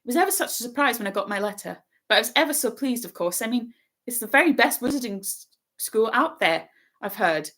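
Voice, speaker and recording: Woman doing a bossy, fast-talking schoolgirl. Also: high-pitched, big pitch swings, a bit bright, voice pushed up, heavily acted. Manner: fast, breathless, self-important. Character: bossy eager know-it-all schoolgirl.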